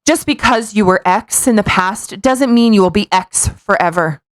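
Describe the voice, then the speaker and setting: Woman podcaster reading out loud n poking fun, playful, mid pitch, super dry recording. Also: moderate pace, dry recording. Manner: playful, mocking, profane. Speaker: podcast co-host. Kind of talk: casual dramatic reading with joking asides.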